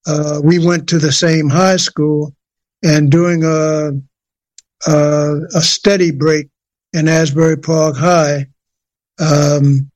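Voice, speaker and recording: Older man telling stories, halting and relaxed. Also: a little high, dry recording. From USA, New Jersey. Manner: hesitant, many fillers and restarts. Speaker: guest singer. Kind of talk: relaxed interview storytelling.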